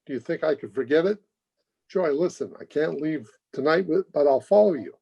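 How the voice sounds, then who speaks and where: An actor playing an ardent, smooth-talking young suitor in an old-time radio play, urgent and pleading. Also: male voice, on the high side, expressive, normal speed, full character voice. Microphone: video call. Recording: dull home video-call audio.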